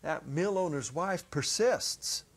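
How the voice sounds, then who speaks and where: A middle-aged man giving an expressive dramatic reading of a literary short story, with deliberate pauses. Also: a little high, slightly rough. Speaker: narrator, reader. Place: indoor set, seated at a music stand. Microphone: clip-on lapel.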